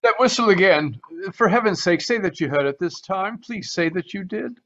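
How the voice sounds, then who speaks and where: A haunted military man from a vintage radio drama, performed by a man. He speaks in a fairly high voice with formal, excitable theatricality. The audio is dull and lo-fi, as from a video call. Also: moderate pace. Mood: haunted, frantic. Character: haunted, proud retired colonel, paranoid about witchcraft. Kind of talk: 1940s radio mystery acting.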